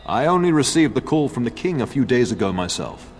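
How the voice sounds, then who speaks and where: A man's voice: mid-range voice, sing-song, a little fast, natural voice. An actor playing a jovial older noble lord speaking to his son in a game. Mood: hearty. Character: noble older male lord, hearty father.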